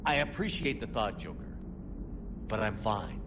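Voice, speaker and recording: An actor playing a stern male starship commander in a video game cutscene, speaking in a low, clipped way. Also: animated, a little slow, background noise.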